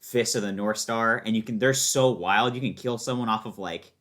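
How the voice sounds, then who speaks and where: A man's voice: lowish voice, moderate pace, fairly bright tone. A man in a casual conversation as a podcast guest, enthusiastic and opinionated, talking in slangy everyday language. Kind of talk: casual chat about video games.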